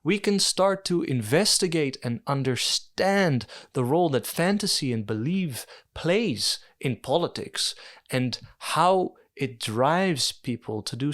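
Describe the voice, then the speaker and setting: A young man with a Dutch accent works through ideas in a casual video essay, at an ordinary middle pitch. He muses aloud, trips over a word now and then, and makes wry asides about himself. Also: expressive, a little slow, a bit bright. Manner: thoughtful, musing, self-deprecating with fillers.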